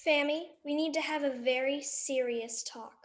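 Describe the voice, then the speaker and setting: A woman's voice: sits high, steady tone, moderate pace, lightly acted. A woman playing an earnest, bossy little girl in a live radio drama, speaking emphatically. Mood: stern.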